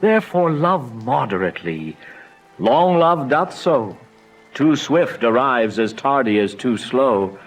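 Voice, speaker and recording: Solemn, kindly friar, mid-pitched. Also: male voice, big pitch swings, husky, exaggerated voice, poor audio. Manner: measured, solemn, sententious. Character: grave, kindly, cautioning friar, male confessor. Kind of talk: Shakespearean stage-style drama.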